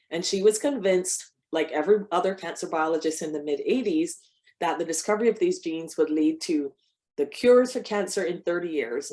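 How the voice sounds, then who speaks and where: Low-voiced woman giving a talk. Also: a little mellow. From Barbados. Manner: conversational, with frequent restarts and fillers. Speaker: cancer biologist giving a talk. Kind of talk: personal talk to an audience.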